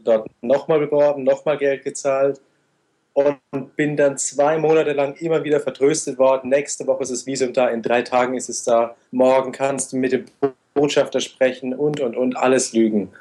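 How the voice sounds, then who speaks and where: A man in his twenties, an interview guest recounting his travels in a steady, detailed way. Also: mid-range voice, moderate pace. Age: 20s. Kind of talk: conversational interview answers.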